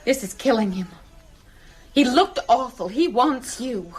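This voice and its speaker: Angry middle aged mom, really high voice, bossy and scolding, radio play. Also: female voice, animated, a little slow, crowd noise. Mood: insistent scolding. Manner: scolding, insistent. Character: angry, domineering mother scolding her grown daughter. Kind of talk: radio drama acting.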